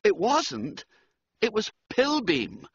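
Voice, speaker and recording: A man voicing a panicky young gentleman who rambles frantically in a breathless rush. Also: high-pitched, huge pitch swings, takes it slowly, breathy edge, dry recording, lo-fi sound, pitched above the performer's own voice, heavily acted. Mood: frantic.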